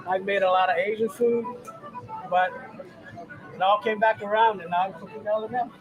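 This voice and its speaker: A man's voice: sits high, moderate pace, muffled recording, music in the background. A middle-aged man from Samoa, raised in Hawaii, chatting casually and laughing as he cooks at an outdoor event. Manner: relaxed, chatty, laughs easily. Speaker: chef. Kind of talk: casual live cooking demo. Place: outdoor boat show.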